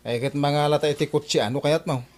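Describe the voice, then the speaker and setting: A man's voice: on the high side, steady tone, fast, lightly acted. A man playing the easygoing husband of a boastful wife in an Ilocano comedy radio drama, with an Ilocano accent. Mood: amused, accommodating. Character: easygoing, low-voiced husband of a boastful supervisor.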